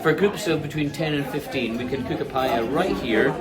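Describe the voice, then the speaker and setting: A man's voice: fairly high pitch, a little fast. A man who runs a restaurant, chatting casually while he cooks and plugs its services. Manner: chatty, promotional. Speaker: restaurant chef and host. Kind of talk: casual chat while cooking. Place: busy restaurant. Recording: loud crowd chatter behind. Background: loud restaurant crowd.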